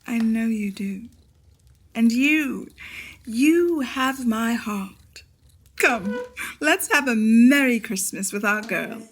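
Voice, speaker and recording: An actress playing a tender, sorrowful, steadfast woman in a radio play, earnest and measured. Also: fairly high pitch.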